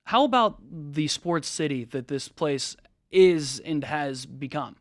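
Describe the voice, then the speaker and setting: A man co-hosting a sports talk show in a studio, breaking down plays in a measured, analytical way. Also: a little high, lively intonation, dry recording.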